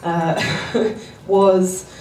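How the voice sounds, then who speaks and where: A woman's voice: mid-range voice, steady tone, very slow, mellow, slight background noise. A young Australian woman in her twenties, a guest speaker with a cold, sounding a little nasal and politely apologetic. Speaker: guest speaker, writer and editor. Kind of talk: public talk. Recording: roomy hall, distant mic, faint dishes.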